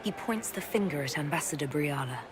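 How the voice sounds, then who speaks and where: A woman's voice: low voice, lively intonation, fairly quick, noisy background. An actress playing a wary female leader with a dry wit in a video game cutscene. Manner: guarded, dry.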